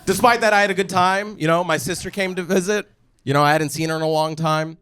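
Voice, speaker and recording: A man's voice: high voice, fast talker, a bit bright, smooth. A man with a Californian American accent doing stand-up comedy, telling stories in a casual, profane, slangy way. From United States, California. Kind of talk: stand-up comedy storytelling to a live audience. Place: live comedy show with audience.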